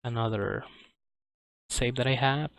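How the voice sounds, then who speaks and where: A man who speaks English as a second language, giving casual live speedrun commentary, halting as he searches for words. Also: medium pitch, steady tone, background noise. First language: not English. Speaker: speedrunner presenting a game at a streamed marathon.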